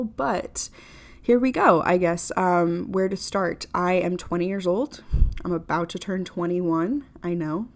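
Young woman, early twenties, solo podcast host, chatty and upbeat, slightly low voice. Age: 20s. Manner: chatty, upbeat, self-deprecating with many fillers. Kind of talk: casual solo podcast monologue.

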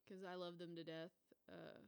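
A woman's voice: fairly low pitch, little pitch movement, normal speed, gravelly, smooth. A woman in her twenties hosting a casual solo podcast, rambling and swearing freely with lots of fillers. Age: 20s. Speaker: podcast host.

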